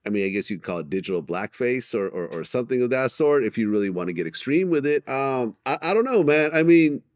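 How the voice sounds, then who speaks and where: A man's voice: mid-range voice, sing-song, fast, no echo, clean studio sound. An American man working as an interviewer, asking questions and commenting in a casual, conversational style.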